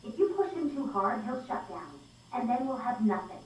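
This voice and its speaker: A woman's voice: fairly high pitch, big pitch swings, normal speed. An actress playing a principled, defiant female scientist who stands her ground in a video game cutscene. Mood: pleading, firm. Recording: very echoey, distant, faint noise.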